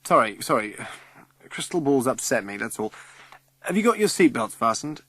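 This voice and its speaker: An actor reading a flustered, harried middle-aged father rushing to drive children home. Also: mid-range voice, very animated, bright, forceful tone, no echo. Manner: harried, apologetic. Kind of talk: audiobook character reading.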